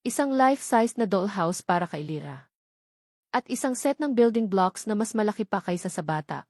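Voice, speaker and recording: A woman's voice: mid-range voice, darkish tone, dry recording. Woman narrating a story, close and dry. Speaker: audiobook narrator. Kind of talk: scripted dramatic story narration.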